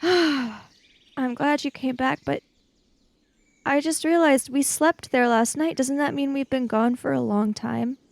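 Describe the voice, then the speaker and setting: A woman with a high voice plays a tabletop role-playing game. She improvises casually, talking in character and describing what her character does, pausing to think as she goes. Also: fairly even intonation, vocal fry, no room sound.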